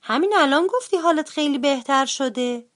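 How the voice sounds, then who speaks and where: A woman voicing a mother bear in a children's story, speaking calmly and firmly. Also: sits high, pitched above the performer's own voice. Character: mother bear, patient and firm.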